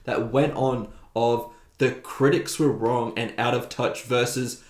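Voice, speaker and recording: A man's voice: medium pitch, steady tone, unhurried. A man hosting a video essay, giving opinionated, conversational commentary. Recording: roomy, echoing voice recording.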